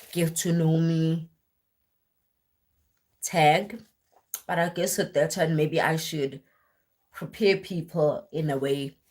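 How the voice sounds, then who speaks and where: A young woman with a husky voice, worn deeper than usual, chatting casually and at length on a live stream, with lots of fillers and restarts. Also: darkish tone. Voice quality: husky, deep voice. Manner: rambling, filler-heavy, self-correcting. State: voice worn and deeper after a weekend of church singing.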